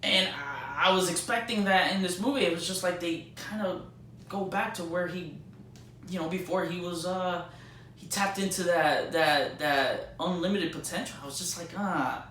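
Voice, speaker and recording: A man giving a casual movie review as a vlogger, talking like an excited fan with plenty of slang. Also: sits high, moderate pace. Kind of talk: casual spoiler-filled movie review chat. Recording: echoey room, distant mic, faint noise.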